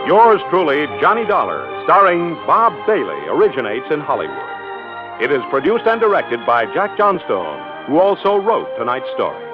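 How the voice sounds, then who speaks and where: A man's voice: a little high, huge pitch swings, moderate pace. A man announcing the closing credits of a 1950s radio drama in a polished, emphatic style. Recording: lo-fi vintage radio broadcast with music.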